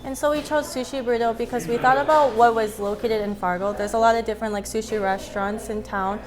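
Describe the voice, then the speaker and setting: A woman's voice: a little high, fairly even intonation, fast, rough recording. A woman in her twenties from North Dakota, a restaurant co-owner, speaking easily in an interview. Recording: crowd noise behind.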